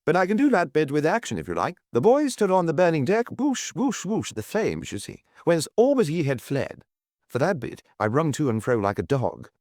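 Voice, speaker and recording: A man's voice: fairly high pitch, big pitch swings, moderate pace, a little mellow, dry recording, pitched above the performer's own voice. A man voicing a breezy, wry young adventurer working as a tour guide, with a dry, teasing manner.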